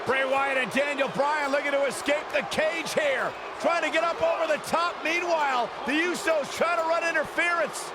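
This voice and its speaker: A man playing an excitable, dramatic wrestling play-by-play announcer in a video game, shouting emphatically. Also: very high voice, normal speed, few pauses, heavy background noise. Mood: excited. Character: excitable male wrestling announcer reacting with shock. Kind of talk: excited scripted sports commentary in a video game. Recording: loud crowd and music behind. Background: roaring arena crowd and music.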